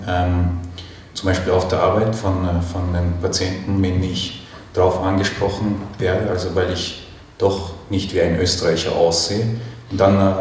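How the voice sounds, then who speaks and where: A man's voice: sits low, darkish tone. A man from Chechnya who works with patients, telling a personal experience on a panel. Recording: distant, very echoey hall, faint noise.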